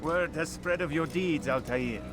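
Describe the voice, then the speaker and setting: An actor playing a courteous, wry male guild keeper who speaks smoothly. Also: fairly high pitch, a little slow, loud music behind the voice, lightly acted.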